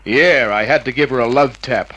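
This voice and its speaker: An actor playing a cocky, wisecracking hard-boiled private investigator in an old-time radio drama, flippant, slangy and smug. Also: male voice, medium pitch, normal speed, a bit bright, natural voice, low-quality recording. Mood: mocking, smug.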